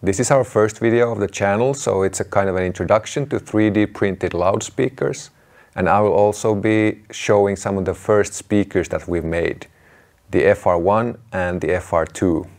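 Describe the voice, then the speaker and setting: Guy in his 30s explaining stuff to camera, deep gravelly voice, matter of fact. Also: normal speed, darkish tone. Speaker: presenter.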